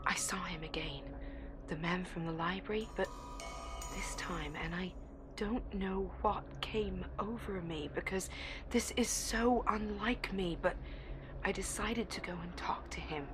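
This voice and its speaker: An actress plays a young woman at university in an audio drama, naive and easily smitten. Her medium-pitched voice is earnest and confiding, like a diary being spoken aloud. There is clear ambient noise behind the recording. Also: measured pace, close to an everyday voice. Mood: infatuated, wistful. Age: young adult. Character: eager, naive, infatuated young female university student. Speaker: actor.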